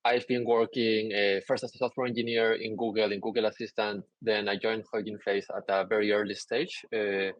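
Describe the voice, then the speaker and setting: Low-voiced man giving a technical talk. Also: fairly quick, few pauses, dry recording, highs cut off. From Peru. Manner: many "uh" fillers, relaxed and explanatory. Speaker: conference speaker. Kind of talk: technical talk over slides. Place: indoor room, on a video call.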